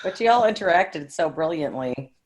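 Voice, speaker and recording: A woman's voice: on the low side, expressive, normal speed, husky, poor audio. A woman co-hosting a casual interview, chatty, agreeable and complimentary. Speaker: interview co-host.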